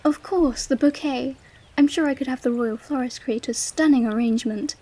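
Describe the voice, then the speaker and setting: A woman's voice: high-pitched, moderate pace, dark tone. An actress playing a gracious, eager queen in a romantic audio drama, with a refined, formal and affectionate manner. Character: gracious, eager queen who loves hosting.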